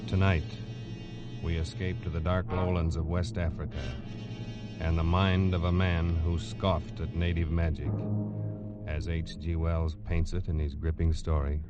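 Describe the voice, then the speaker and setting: A man's voice: deep voice, flat delivery, measured pace. A man announcing an adventure radio drama in a dramatic, portentous old-time style. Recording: dull old broadcast recording over loud music.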